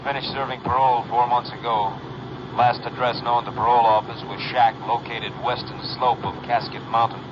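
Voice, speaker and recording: A noisy, muffled old broadcast carries a man acting a police dispatcher. He gives reports in a formal, clipped deadpan at a middling pitch, impersonal and official, in 1950s radio drama style. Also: normal speed, lightly acted.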